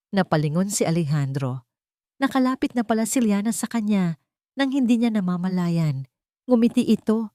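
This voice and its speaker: A woman's voice: on the low side, a little mellow, no room sound, studio quality. A woman with a Filipino accent narrating a dramatic scripted story as a storyteller.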